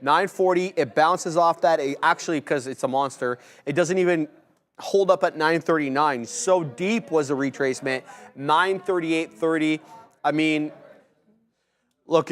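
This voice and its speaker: A young man with a slightly raised voice co-hosts a live trading show. He calls out price levels quickly and jokes with his co-host in loose trader slang. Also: on the high side, faint music behind. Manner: energetic, jokey, uses trader slang. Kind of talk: live market commentary.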